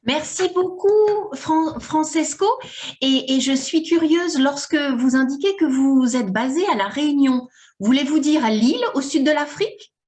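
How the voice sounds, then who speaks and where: A woman with a high voice moderates an online meeting, thanking each speaker and encouraging them politely. Her voice comes over a video call. She keeps a courteous, welcoming tone. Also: lively intonation, unhurried, a little mellow. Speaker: meeting host.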